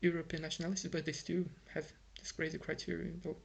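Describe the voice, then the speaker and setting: Gravelly Brazilian man venting on camera. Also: a little high, little pitch movement, fast. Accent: Brazilian Portuguese. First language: Portuguese. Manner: frustrated, rambling with many fillers and restarts. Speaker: vlogger. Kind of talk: casual vlog monologue. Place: home in Ireland.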